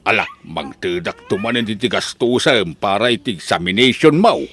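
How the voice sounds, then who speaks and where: A man's voice: lowish voice, expressive, moderate pace, fairly bright tone. A man with a Filipino accent playing a proud, hardworking, doting farmer father in an audio drama. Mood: proud, fond. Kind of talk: Ilocano audio drama acting. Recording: faint background noise.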